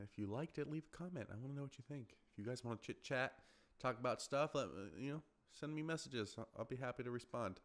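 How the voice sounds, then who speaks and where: Deep-voiced man rambling on a podcast. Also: fairly bright tone. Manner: rambling, many fillers and restarts. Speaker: podcast host. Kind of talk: casual solo podcast chat.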